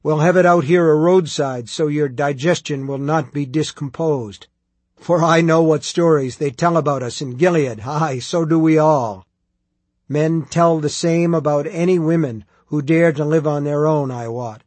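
A man voicing a huge, imperious but good-humored prioress in an audiobook, with a rolling, booming voice and archaic formal speech. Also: normal speed, darkish tone, dry recording, close to an everyday voice. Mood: wry, hospitable.

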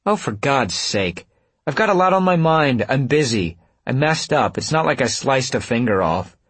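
A man's voice: medium pitch, animated, normal speed, husky, dry recording, pitched above the performer's own voice. Audiobook role: male narrator as harried young woman restaurant owner, impatient and snappish, voice lifted well above his own. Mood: irritated. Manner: impatient, clipped, defensive.

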